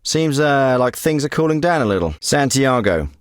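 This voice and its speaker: A man's voice: mid-range voice, sing-song, normal speed, no room sound, clean studio sound, lightly acted. A man voicing a curt covert ops handler. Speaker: special forces handler. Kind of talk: game voice acting.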